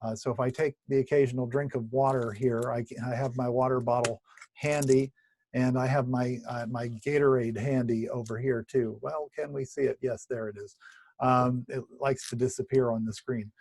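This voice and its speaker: A man's voice: medium pitch, steady tone, normal speed. A pastor leading a casual Bible study from home, his voice slightly scratchy and tired, chatting with lots of fillers and restarts. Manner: chatty, warm asides with many fillers and restarts. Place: at home, indoors. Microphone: video recording at home.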